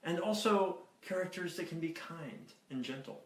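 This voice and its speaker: A man's voice: a little high, moderate pace. Man explaining music in a roomy space. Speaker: French horn player. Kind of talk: introducing an instrument to children.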